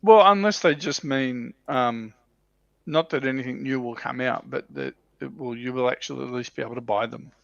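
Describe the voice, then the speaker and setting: A man with a creaky, gravelly voice chats casually on a livestream panel. The sound is dry but somewhat dull and lo-fi. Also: mid-range voice, moderate pace, dry recording. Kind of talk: casual chat.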